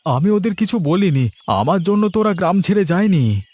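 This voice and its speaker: An evasive village father in a cartoon folk tale, with a high, husky voice that moves up and down a lot. He sounds defensive, shirking all blame. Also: big pitch swings, moderate pace, a little mellow, no echo. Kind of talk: Bengali fairy-tale cartoon voice acting.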